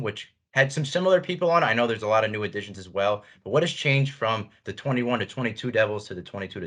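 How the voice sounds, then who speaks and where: Guy hosting a sports interview, kinda low voice w a crisp bright edge. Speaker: sports show host, interviewer.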